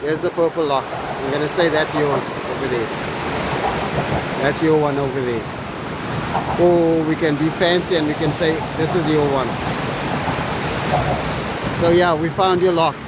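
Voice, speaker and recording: A man's voice: fairly high pitch, fairly even intonation, a little slow, pauses often. A man with a Cape Town South African accent chatting casually to viewers while walking, rambling and greeting them by name. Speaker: vlogger. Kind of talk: casual live walking vlog chat. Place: outdoors on a windy bridge. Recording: loud wind and traffic noise outdoors.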